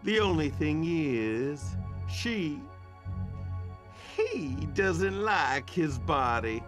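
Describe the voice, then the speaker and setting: A man narrating a ghost story radio drama in a measured, gossipy and bitter way. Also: a little high, very animated, faint music behind.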